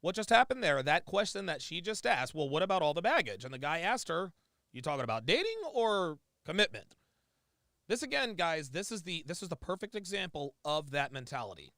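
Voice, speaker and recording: A man's voice: on the high side, very animated, a little fast, pushed and bright, no echo, clean studio sound. A man streaming live, reacting to a clip with emphatic, repetitive ranting commentary.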